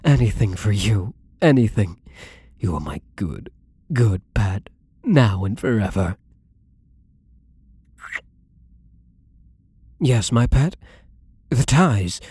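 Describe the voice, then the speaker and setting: Man acting a breathy, possessive lovestruck gambler. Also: lowish voice, big pitch swings, mellow, no room sound, heavily acted. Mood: playful, seductive. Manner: breathy, slow, intimate, often dropping to a whisper. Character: possessive, lovestruck wealthy gambler, adoring and commanding. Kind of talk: intimate ASMR romance roleplay.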